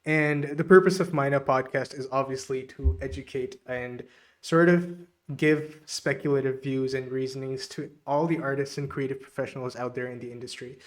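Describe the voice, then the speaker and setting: Hesitant podcast host, man. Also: mid-range voice. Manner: hesitant, heavy on fillers. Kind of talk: podcast interview.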